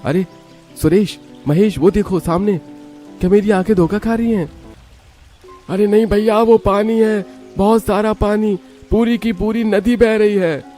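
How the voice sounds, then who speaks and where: A man voicing an earnest, excitable poor village brother in a cartoon story, emphatic and simple. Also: sits high, a little slow, faint music behind, voice pushed up. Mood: overjoyed. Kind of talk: Hindi cartoon story acting.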